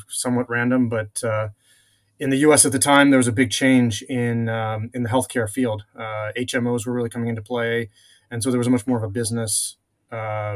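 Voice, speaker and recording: A middle-aged man born in France, a venture investor, telling his career story in a measured way with many filler pauses. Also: medium pitch. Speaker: guest, venture capital investor. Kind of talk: conversational interview answers.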